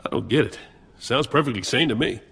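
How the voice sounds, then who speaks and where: A sickly wry chatty guy from a sci-fi game, really swoopy bright voice. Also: medium pitch, brisk, gravelly, husky, full character voice. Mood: wry, musing. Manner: wry, animated, joking. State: frequent coughing fits. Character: sickly, wry, chatty male patient, Jedi's eager helper.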